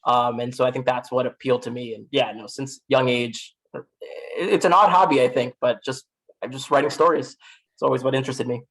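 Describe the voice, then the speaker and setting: A man's voice: mid-range voice, fairly even intonation, brisk, a bit bright, poor audio. A man from Miami with an American accent, speaking as a podcast guest in a casual, reflective, self-deprecating interview style. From Miami, United States.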